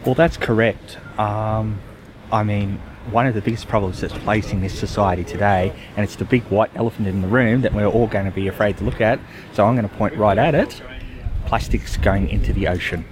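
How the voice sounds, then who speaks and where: A man's voice: fairly low pitch, brisk. A man, a futurist guest giving earnest, musing interview answers with many fillers. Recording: noisy background.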